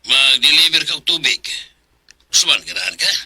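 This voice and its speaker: An actor playing a kindly, avuncular village pastor in a Bisaya radio drama, with a husky voice and a Cebuano Filipino accent. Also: male voice, fairly high pitch, animated, normal speed, bright, forceful tone, no echo, heavily acted. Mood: friendly.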